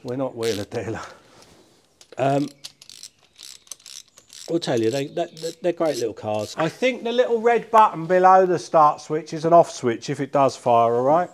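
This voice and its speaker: A man's voice: a little high, very animated, moderate pace, a little hiss or hum. Middle-aged British man chatting casually. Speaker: car collector and restorer presenting a channel. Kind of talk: casual chat while working on a car. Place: large workshop barn, indoors.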